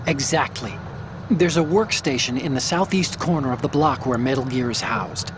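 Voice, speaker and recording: A man's voice: mid-range voice, background noise, close to an everyday voice. Man voicing an eager techie on the radio. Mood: explaining. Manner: quick, explanatory, eager. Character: chatty male tech support hacker.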